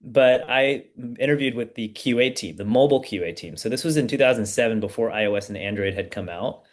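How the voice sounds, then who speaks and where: A man in his thirties from the United States, a startup founder guest telling his story in a relaxed, casual way with plenty of fillers. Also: lowish voice, brisk. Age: 30s. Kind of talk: casual podcast interview.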